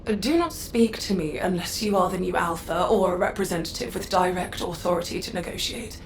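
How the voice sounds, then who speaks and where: An actress playing a haughty, cold faction envoy in a video game, speaking in an imperious, condescending, formal way. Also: female voice, fairly low pitch, brisk, vocal fry, slight background noise. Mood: cold disdain. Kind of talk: scripted game dialogue. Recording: faint game ambience behind voice.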